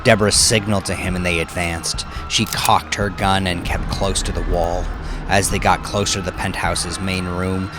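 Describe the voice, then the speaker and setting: Low-voiced man narrating dark crime fiction. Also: flat delivery. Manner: grave, deliberate, suspenseful. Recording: music bed under narration. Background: music.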